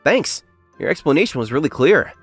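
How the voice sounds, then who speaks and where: A modest, candid young working man narrates and acts in a manga dub, played by a male actor. His intonation is very lively and earnest, with soft music underneath. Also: a little high, brisk, slightly rough, full character voice. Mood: polite, earnest. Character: modest, plain-spoken young male factory worker, story's narrator. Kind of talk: English manga dub acting and first-person narration.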